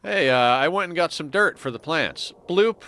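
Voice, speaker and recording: A man's voice: a little high, animated, moderate pace, pushed and bright, voice pushed up. A dopey little colony worker, cheerfully oblivious and pleased with himself, as a man puts him on in a raised, chirpy voice. He sounds proud of what he has done. There is a touch of silliness in every word.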